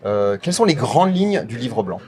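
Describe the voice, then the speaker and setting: A man interviewing a guest, putting semi-prepared questions in a halting way with repeated restarts. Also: mid-range voice, big pitch swings, darkish tone.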